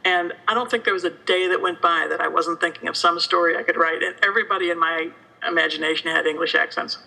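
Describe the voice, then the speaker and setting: A woman's voice: on the low side, fairly even intonation, quick pace, gravelly, bright, forceful tone, low-quality recording. A woman in a casual interview as a guest author, joking and poking fun at herself. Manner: joking, self-deprecating. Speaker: guest novelist being interviewed. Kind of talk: casual chat.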